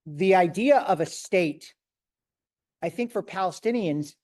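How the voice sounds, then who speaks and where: A man's voice: a little high, a little mellow. A man, a rabbi, answering audience questions in a lecture and thinking aloud as he goes. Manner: thoughtful, thinking aloud with restarts. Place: large echoey hall. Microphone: microphone failing, speaking loud from a distance. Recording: echoey hall, voice from far off.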